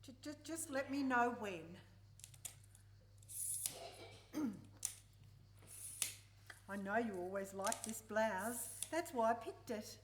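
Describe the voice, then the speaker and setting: A woman's voice: a little high, animated, slow pace, pauses often, slight background noise. A woman acting a proud, flustered elderly country widow with a hesitant, fussy manner in a live radio play. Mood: meek, wistful. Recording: roomy, echoing live stage recording.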